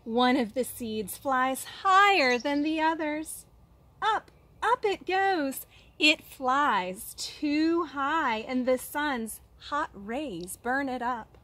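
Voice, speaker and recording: A woman reading a children's picture book aloud like a kindergarten teacher, expressively, with dramatic pauses and questions for young listeners. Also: high-pitched, animated, pushed and bright.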